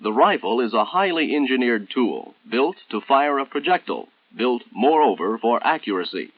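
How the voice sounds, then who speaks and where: An American man narrating a 1960s military training film in a formal, measured, instructional manner, on an old film soundtrack. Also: mid-range voice, big pitch swings, no echo, phone-quality sound.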